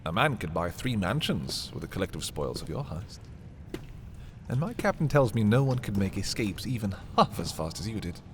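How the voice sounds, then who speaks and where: An actor playing a haughty, menacing prince taunting a captive thief in a dark fantasy audio roleplay, theatrical and condescending with dramatic pauses. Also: male voice, fairly low pitch, big pitch swings, a little hiss or hum. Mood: mocking admiration.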